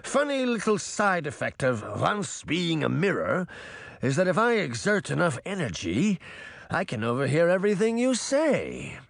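Guy doing a sly evil mirror spirit for an audiobook, mocking, fake friendly, pitch jumps all over. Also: huge pitch swings, normal speed, vocal fry, no echo, studio quality, heavily acted. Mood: smug. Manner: taunting, mock-friendly, theatrical. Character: sly, mocking villain mirror spirit possessing an old woman's body. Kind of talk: audiobook character voice.

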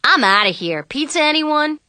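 A woman's voice: medium pitch, moderate pace, sharp tone, no echo, lightly acted. A woman playing a casual, breezy teenage boy with an offhand manner in an audiobook.

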